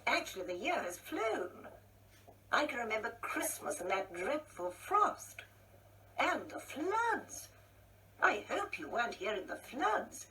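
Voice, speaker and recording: An actress plays a genteel, wistful older wife in a radio drama. Her voice is bright and unhurried, with theatrical pauses and a coquettish lilt. It sits a little far from the mic. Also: fairly high pitch, exaggerated voice. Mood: chatty, wistful. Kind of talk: radio play monologue acting. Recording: distant mic with faint background noise.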